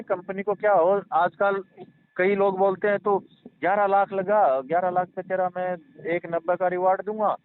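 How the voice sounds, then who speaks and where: A man explaining and reassuring in a rambling, repetitive, earnest way during a casual phone conversation. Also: high voice. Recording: tinny phone call with faint noise.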